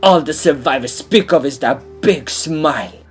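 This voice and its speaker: A woman's voice: deep voice, measured pace, soft background music. A woman playing a cruel, taunting female troll war matron in a theatrical fantasy audio drama. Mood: growling anger.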